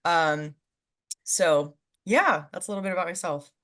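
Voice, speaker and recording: A woman's voice: lowish voice, unhurried, a bit bright. A young woman in her twenties from California, chatting casually as a guest on a video call, with lots of "um"s and easy laughs. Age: 20s. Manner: chatty, many "um"s, laughs easily. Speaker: guest panelist.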